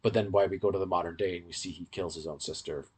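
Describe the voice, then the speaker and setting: A man's voice: deep voice, fairly even intonation, quick pace, slightly rough. A man co-hosting a podcast, giving casual, in-depth fan commentary in a rambling, explanatory way. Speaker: podcast co-host.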